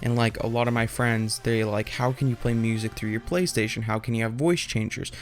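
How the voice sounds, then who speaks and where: A man's voice: medium pitch, fairly even intonation, fairly quick. A young adult man giving an informal, off-the-cuff tech tutorial, explaining loosely and rambling as he goes. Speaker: tutorial host.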